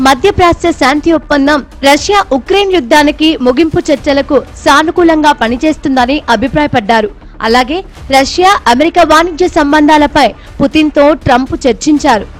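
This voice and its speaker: A woman. She reads as a voice-over reporter, with music playing underneath. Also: high voice. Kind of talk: scripted news narration. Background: background music bed.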